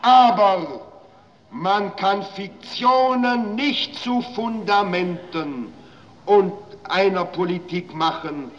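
Slow high-voiced orator in reverberant hall. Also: male voice, fairly bright tone, exaggerated voice. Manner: deliberate, declamatory. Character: earnest male post-war parliamentarian lecturing the assembly. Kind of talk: slow formal political oratory. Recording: muffled, long hall reverb.

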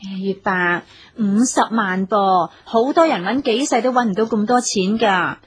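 An actress playing a bossy, self-assured, scheming wife in a Cantonese radio drama, commanding and coaxing by turns. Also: female voice, mid-range voice, a little slow, natural voice. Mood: eager.

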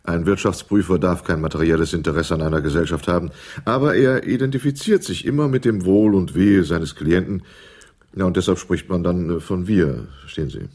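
An actor playing a smooth, evasive male accountant in a German radio crime drama, speaking in a measured, hedging way. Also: deep voice, darkish tone.

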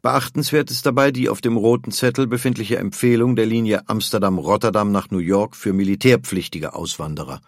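A man's voice: lowish voice, fairly quick, hardly any pauses, no echo, studio quality. A man reading scripted historical documentary material as a narrator.

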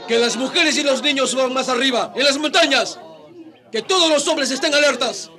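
A man's voice: very high voice, normal speed, bright, forceful tone, a faint crowd. A man playing a commanding native rebel leader in a radio drama, urgently barking orders.

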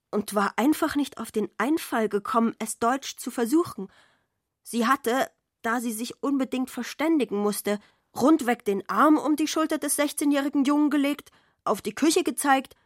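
A woman's voice: fairly high pitch, no room sound, studio quality. A woman narrating a literary story for a radio play in a scripted storytelling style.

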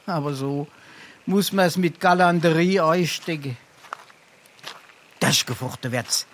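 An actor playing a brazen, pompous scrounger in a Darmstadt Hessian dialect comedy, theatrical and blustering. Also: male voice, a little high, slow, fairly bright tone, a little hiss or hum. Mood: self-pitying bravado. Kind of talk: dialect stage comedy acting.

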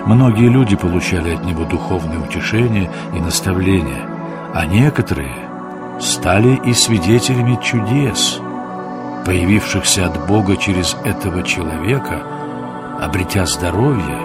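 An older actor playing a wise grandfather, narrating a radio play in a measured, instructive manner. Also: low voice, expressive. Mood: solemn, instructive. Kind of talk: scripted storytelling narration. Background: prominent new-age music.

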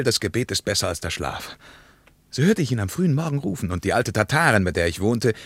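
An actor in a radio play playing a male traveler who vividly recalls a wartime memory, in a wistful, lively manner. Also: sits low, dry recording. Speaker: travel companion.

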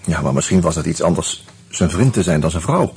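An actor playing a reflective, wry man who tells his story in a radio play, in a musing, halting, conversational way. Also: extremely low pitch, animated, a little mellow, breathy edge, heavily acted. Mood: wry, probing. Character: reflective male friend telling his story, wry, hesitant. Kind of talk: intimate first-person radio drama narration and dialogue.

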